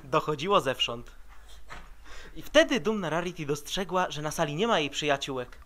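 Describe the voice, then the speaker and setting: Guy narrating a cartoon comic fandub, bright sharp voice, bit of noise. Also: on the high side, lively intonation, normal speed. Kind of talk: scripted story narration for an amateur comic dub.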